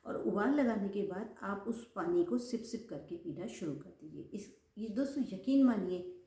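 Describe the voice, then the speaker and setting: A woman's voice: mid-range voice, dark tone, roomy sound. A woman YouTuber giving home remedy tips in a chatty way, repeating phrases for emphasis and addressing viewers as friends. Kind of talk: casual instructional talk to camera.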